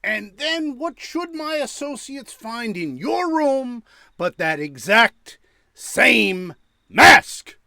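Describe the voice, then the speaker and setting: A man playing a menacing gangster boss in an audio drama, sarcastic and bullying, with gravelly, taunting wise-guy talk. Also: extremely high pitch, sing-song, takes it slowly, bright, forceful tone, no echo, studio quality, heavily acted. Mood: taunting, accusing.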